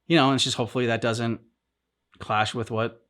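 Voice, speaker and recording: A man in a casual discussion, talking as a reaction show host in a halting, rambling way as he thinks aloud. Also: medium pitch, steady tone, fairly bright tone. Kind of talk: casual discussion of video game story.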